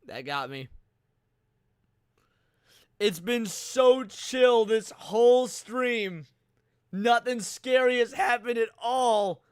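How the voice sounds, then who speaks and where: A male streamer with a high, clear voice reacts casually as he watches along with his audience. His talk is slangy and profane, and the sound is dry and close to the mic. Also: lively intonation, takes it slowly, long pauses, no echo. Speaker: livestream host. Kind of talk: casual live reaction commentary to horror series.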